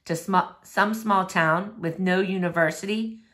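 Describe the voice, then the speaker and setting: A woman reading a novel in verse aloud in an expressive storytelling style. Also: medium pitch, a little slow. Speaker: read-aloud reader.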